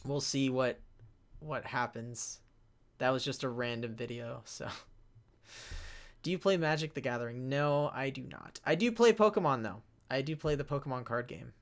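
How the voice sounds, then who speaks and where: Male, casual panelist in a live question session, noticeable vocal fry, rambling and stop-start delivery. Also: medium pitch. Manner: halting, rambling, self-interrupting. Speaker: panelist, web series creator answering fan questions.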